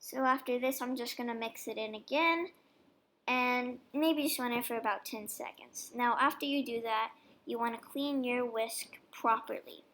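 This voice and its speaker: A woman's voice: on the high side, moderate pace. A young woman casually explaining step by step how she makes dog treats. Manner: chatty, relaxed, explains each step. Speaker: vlogger baking dog treats. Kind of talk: casual cooking demonstration voice-over.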